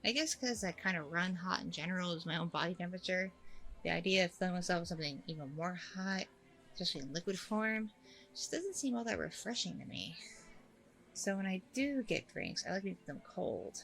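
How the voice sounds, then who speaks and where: A woman's voice: fairly low pitch, lively intonation, brisk, vocal fry, fairly bright tone, low-quality recording. A woman playing a chatty, apologetic blind date in an ASMR audio roleplay, rambling along casually and a little hesitantly. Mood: caring, chatty.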